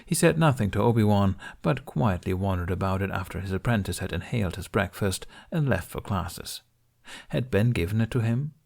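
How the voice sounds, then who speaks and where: A man's voice: fairly low pitch, animated, normal speed, vocal fry, darkish tone. A man reading fiction aloud as a scripted story narration. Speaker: audiobook-style reader of fan fiction.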